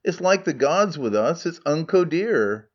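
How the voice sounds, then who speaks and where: A crafty, down-at-heel trader with a broad Scottish accent coaxes and blusters, as a man puts him on. The voice sits high and bounces with eager hawking. It is heard through a dull, muffled recording. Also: big pitch swings, measured pace. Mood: wheedling. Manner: broad dialect, coaxing and blustering. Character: crafty poor male trader, older, wheedling.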